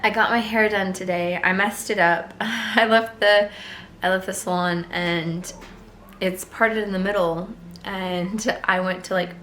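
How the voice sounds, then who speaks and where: A chatty woman knitter talking to her viewers at home, her voice a touch hoarse and gravelly as it recovers. She sits a little low in her pitch and rambles along with fillers and small laughs. The room rings with echo, and a faint hiss sits behind her. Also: bright, forceful tone. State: slightly hoarse, voice still recovering. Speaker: knitting vlogger. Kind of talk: casual chat to camera.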